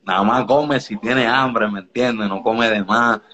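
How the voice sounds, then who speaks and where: A man's voice: fairly low pitch, unhurried, pushed and bright. A man from the Dominican Republic, a music producer guest in a casual interview, talking in a laid-back way and often checking that the listener follows. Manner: laid-back, keeps asking "you get me?".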